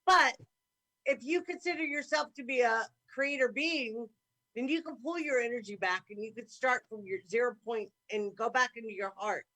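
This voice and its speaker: Woman w/ a sharp bright voice, kinda high, blunt and emphatic. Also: moderate pace, low-quality recording. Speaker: podcast guest. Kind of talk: roundtable discussion.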